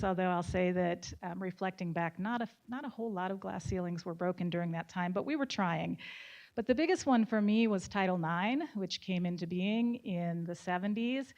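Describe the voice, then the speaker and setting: A woman in her sixties, speaking as a panelist in a measured, storytelling way. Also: medium pitch, a little mellow. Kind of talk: panel discussion. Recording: muffled lo-fi event recording.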